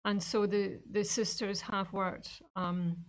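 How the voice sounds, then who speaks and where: Thoughtful nun. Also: female voice, medium pitch, level tone, slow pace. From Scotland. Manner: thoughtful, with many ums and uhs. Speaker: visiting nun, guest. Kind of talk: casual interview answers.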